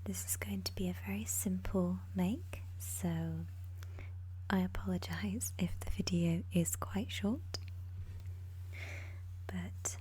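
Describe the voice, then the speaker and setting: A woman gives ASMR craft instructions in a gentle, breathy whisper, pausing unhurriedly between short phrases. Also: fairly low pitch, steady tone, low-quality recording. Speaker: ASMR craft tutorial host.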